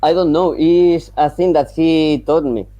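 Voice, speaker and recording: Slow, halting man with a Colombian accent. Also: a little high, dark tone, dry recording. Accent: Colombian Spanish. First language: Spanish. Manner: halting, searching for English words. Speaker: caller. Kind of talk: call-in discussion. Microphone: video call.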